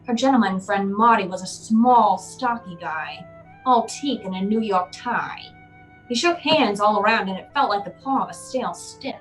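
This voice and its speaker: A woman's voice: mid-range voice, lively intonation, normal speed, soft background music, close to an everyday voice, rough recording. A woman playing a hardboiled private eye, narrating a noir radio play in a dry, wry, tough way.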